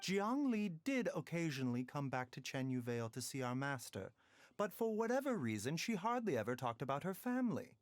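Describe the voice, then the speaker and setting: An actor playing a soft-spoken, formal and slyly enigmatic male doctor in an anime video game. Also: a little high, big pitch swings, slight background noise. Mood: reflective. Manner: formal, measured, polite.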